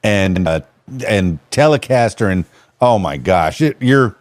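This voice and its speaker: Podcast host guy, rambly and excited, dry close mic sound. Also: low voice, measured pace, no echo. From Miami. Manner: rambling, many fillers and restarts, enthusiastic. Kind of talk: casual interview chat.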